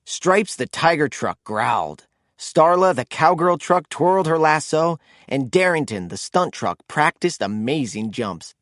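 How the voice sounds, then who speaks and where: Animated male children's storyteller. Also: a little high, measured pace, no room sound, clean studio sound. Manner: expressive, animated delivery for children. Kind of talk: scripted read-aloud storytelling.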